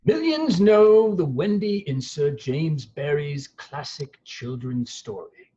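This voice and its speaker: A man's voice: a little high, expressive, slow pace, mellow, slightly rough, exaggerated voice. A man playing a proper, elderly British lord, giving a stately formal speech in a table read, on a lo-fi recording. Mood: stately, ceremonial.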